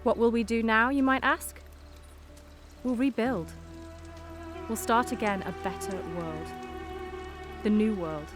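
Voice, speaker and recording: A woman's voice: fairly high pitch, slow, pauses often. Woman playing a resolute Northern Irish head girl. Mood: solemn, resolute. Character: resolute head girl leading post-apocalyptic school survivors. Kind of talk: rallying speech in audio drama. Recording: music and rain behind voice.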